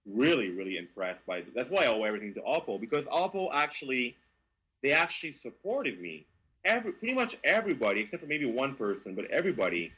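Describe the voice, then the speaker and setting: A man from Quebec with a Quebec French accent, telling stories as an interview guest in a lively, stammering way. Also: mid-range voice, slightly rough, poor audio. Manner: animated, frequent stammering restarts. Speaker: guest, car reviewer. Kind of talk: casual interview storytelling.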